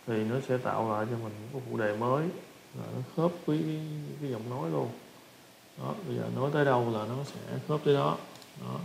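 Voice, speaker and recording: A man with a Southern Vietnamese accent giving a casual software tutorial, often repeating short phrases as he demonstrates. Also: medium pitch, fast, gravelly. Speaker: tutorial instructor. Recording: roomy echo with background noise.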